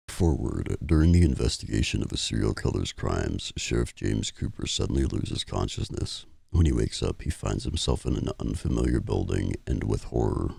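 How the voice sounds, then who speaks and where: Man, let's play commentary, sniffly from a cold, extremely deep gravelly voice, dry close sound. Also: dark tone, dry recording. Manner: casual, reads game text aloud. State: getting over a cold, sniffly. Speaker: gaming YouTuber.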